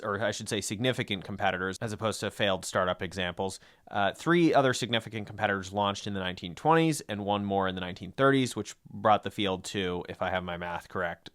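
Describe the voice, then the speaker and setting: Low-voiced, clear man hosting a podcast. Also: a bit bright, dry recording, clean studio sound. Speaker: podcast host. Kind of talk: history podcast explainer, semi-scripted.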